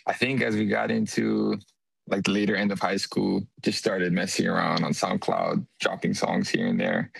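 Gravelly, husky-voiced Hawaiian musician. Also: male voice, sits low, no echo, poor audio. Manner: laid-back, easygoing. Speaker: musician guest. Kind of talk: casual interview answers.

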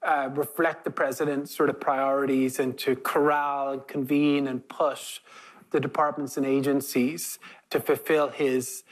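Irish man around fifty, flat even delivery, panel guest. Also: medium pitch, measured pace, low-quality recording. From Ireland. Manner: fluent, steady, frequent fillers. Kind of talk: panel conversation.

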